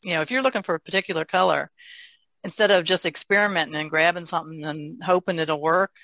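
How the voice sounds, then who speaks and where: A woman's voice: fairly low pitch, fast, a bit bright, dry recording, low-quality recording. An older woman, a painter, casually explaining her craft in conversation. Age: older, about 60s. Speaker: painter, podcast guest.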